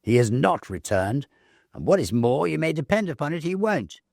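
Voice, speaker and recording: A British man voicing a sharp, gloomy, opinionated sister with an insistent, fault-finding manner in a story reading. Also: on the high side, sing-song, normal speed, gravelly, dry recording, clean studio sound, voice pushed up, exaggerated voice. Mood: smugly ominous.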